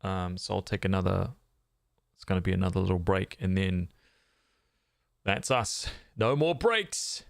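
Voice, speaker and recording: A man's voice: low voice, monotone, normal speed, vocal fry, pauses often, dry recording. A man streaming games, chatting casually in a laid-back, informal way into a close microphone. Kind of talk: casual livestream chat.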